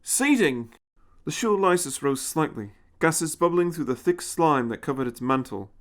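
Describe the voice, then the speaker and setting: A man's voice: a little high, normal speed. A man narrating a scripted audio story.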